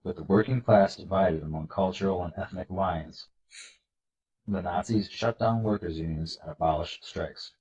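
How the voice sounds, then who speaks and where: A man with a congested, nasal voice from a cold, hosting a video and reading slides aloud in an earnest, measured way. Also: sits low, darkish tone, lo-fi sound. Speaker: political video host.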